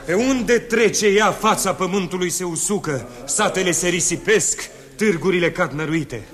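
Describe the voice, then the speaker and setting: An actor playing a hearty, boastful old emperor in a 1960s radio drama, declaiming grandly. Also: male voice, a bit bright. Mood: grave. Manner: booming, declamatory. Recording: old radio studio tape with crowd.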